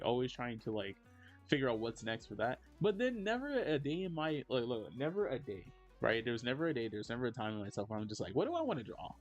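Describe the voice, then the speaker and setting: A man in his thirties chats with his stream audience while he draws, rambling and filling his sentences with "like." His voice leaps upward when he reacts to the chat. The audio is a bit dull, with faint music playing underneath. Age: 30s. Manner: rambling, many "like" fillers, lively jumps in pitch when reacting to chat. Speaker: streamer and digital artist. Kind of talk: casual livestream chat while drawing.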